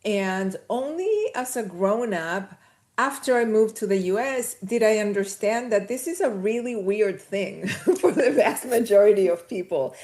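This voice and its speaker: A woman's voice: mid-range voice, a little slow, darkish tone. A woman from Chile, a podcast guest, chatting casually and telling personal stories with laughs mixed in. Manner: chatty, storytelling, laughs mid-sentence. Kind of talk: casual conversation.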